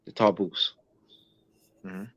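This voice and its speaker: A man, a schoolteacher, talking in a casual interview, halting with many restarts and fillers. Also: sits low, level tone, a bit bright. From United States. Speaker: schoolteacher guest. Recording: dull lo-fi recording.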